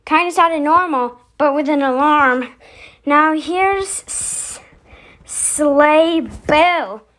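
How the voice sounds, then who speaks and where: A high-pitched voice hosts a casual vlog at an unhurried, slow pace. The speaker rambles along, thinking aloud between one remark and the next. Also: fairly bright tone. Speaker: video host.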